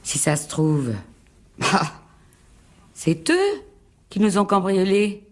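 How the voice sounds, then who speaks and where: An actress playing an anxious, fragile wife in a radio mystery drama, husky, plaintive and reproachful. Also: female voice, mid-range voice, very animated, takes it slowly. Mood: fearful, agitated. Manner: plaintive, pleading.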